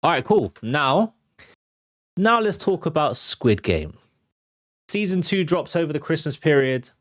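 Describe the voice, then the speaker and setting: A man's voice: a little high, very animated, measured pace, fairly bright tone, no echo, studio quality. A British man hosting an entertainment news livestream, talking in a casual, slangy way and thinking aloud as he gives his commentary.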